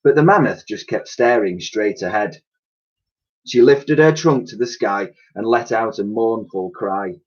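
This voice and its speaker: A man reading a children's storybook aloud in an expressive, dramatic way, with the odd stumble. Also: lowish voice, measured pace. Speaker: primary school teacher reading aloud. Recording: dull lo-fi home recording.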